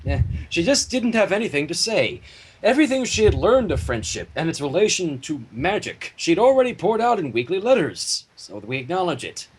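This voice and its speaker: A man reading a story theatrically on a livestream, breaking off into casual banter, with a husky voice. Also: on the high side, very animated, a little fast, slight background noise, low-quality recording. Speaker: fan fiction reader and livestream host.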